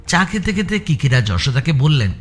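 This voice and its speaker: A man's voice: a little high, big pitch swings, moderate pace, faint music behind. A man reading scripted story narration as an audiobook narrator.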